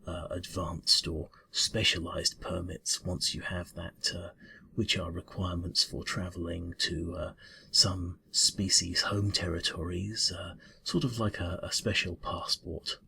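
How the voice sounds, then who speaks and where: A man's voice: low voice, little pitch movement, a little slow, creaky, bright, forceful tone, slight background noise, full character voice. A man voicing a polite, fussy, slightly ruffled bureaucrat cat in an ASMR interview roleplay, mumbling hesitantly and formally.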